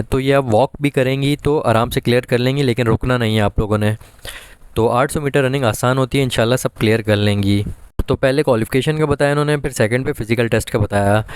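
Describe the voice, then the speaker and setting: Man, Pakistani accent, smooth clear voice, dry sound, methodical explainer, informal instructional voice-over. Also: mid-range voice, no room sound. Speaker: exam guidance presenter.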